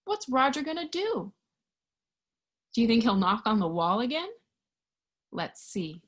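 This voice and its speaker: A woman with a high-pitched voice reads a children's picture book aloud. Her delivery swings widely in pitch and is expressive, clearly aimed at little listeners. She takes her time at a slow, deliberate pace. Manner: expressive, child-directed, with questions and sound effects. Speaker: storytime reader for young children.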